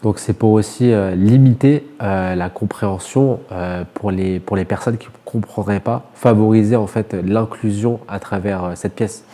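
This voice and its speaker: A man's voice: lowish voice, normal speed, few pauses, warm tone. A man with a French accent from France, a radio interviewer putting prepared questions to a guest.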